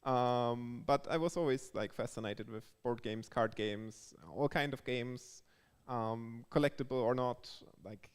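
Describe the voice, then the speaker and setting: A man with a German accent answers interview questions in a casual way. His voice is medium in pitch, smooth and clear. It rings with the long echo of a large hall. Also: unhurried, hardly any pauses. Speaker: guest, board game developer. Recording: echoey hall with long reverb.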